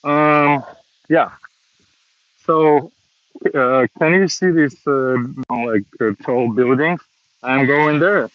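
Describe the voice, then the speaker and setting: Guy talking english real slow on a walk outside, lots of uh's, kinda muffled phone sound. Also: fairly high pitch, no echo. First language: Russian. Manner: slow, hesitant learner English with many pauses. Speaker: vlogger practicing English. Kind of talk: casual walking vlog monologue. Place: outdoors, walking around a city.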